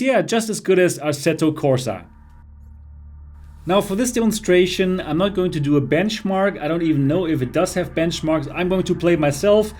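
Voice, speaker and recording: Chatty German-accented man. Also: fairly high pitch. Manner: chatty, explanatory, enthusiastic about cars. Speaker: VR reviewer. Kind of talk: casual gameplay commentary.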